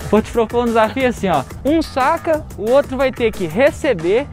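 A man's voice: very high-pitched, lively intonation, normal speed, faint music behind. A man hosting a sports interview on an outdoor sand court, asking direct questions in a friendly, joking way. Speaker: TV sports reporter, host. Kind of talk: casual interview.

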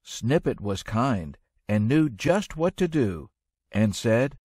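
A man narrating a rhyming children's story in an expressive, measured storytelling manner. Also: mid-range voice, dry recording, studio quality.

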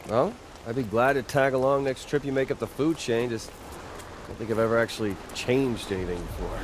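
A man's voice: medium pitch, brisk, noisy background, lightly acted. A young American man voicing a cocky, wisecracking superpowered hero with a conscience in a video game, casual and wry. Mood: sarcastic, easygoing. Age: young adult. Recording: loud game music behind.

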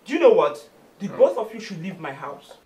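A woman's voice: medium pitch, very animated, moderate pace, warm tone, slight background noise, natural voice. An actress playing an exasperated, commanding young woman with a Nigerian accent in a domestic comedy-drama.

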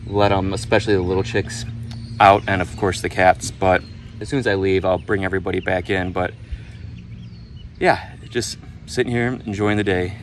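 A man's voice: deep voice, a little hiss or hum. A man in his thirties talking casually to a phone camera at arm's length, vlogging from a wooden barn. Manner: relaxed, chatty, filler-laden. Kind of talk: casual vlog narration. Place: inside a wooden barn shed. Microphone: phone held at arm's length.

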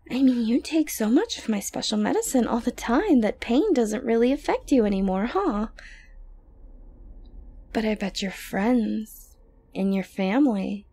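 A woman playing an obsessive, dominant yandere girlfriend in an ASMR roleplay, creepily tender and condescendingly sweet, breathy and often close to a whisper. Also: medium pitch, mellow, full character voice. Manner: slow, coaxing, condescendingly sweet. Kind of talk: ASMR roleplay monologue to the listener.

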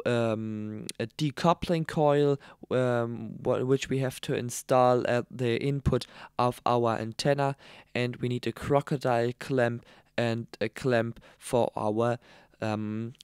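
A man with a German accent, a native German speaker, presenting a how-to narration with halting, hesitant non-native phrasing. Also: mid-range voice, fairly even intonation, darkish tone, clear, resonant tone, no echo, studio quality. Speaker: tutorial presenter. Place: voice-over, dry close recording.

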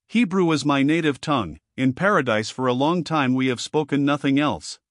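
A man reading the part of a haughty, learned male fallen angel in an audiobook, calm and impassive, in a dry, close sound. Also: mid-range voice, normal speed, dry recording, lightly acted.

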